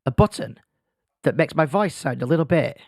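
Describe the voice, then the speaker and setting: A man's voice: on the high side, lively intonation, normal speed, gravelly, a little mellow, no echo, studio quality. A man who is losing his voice, hosting a casual solo podcast in a self-deprecating, rambling way. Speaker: podcast host. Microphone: podcast microphone.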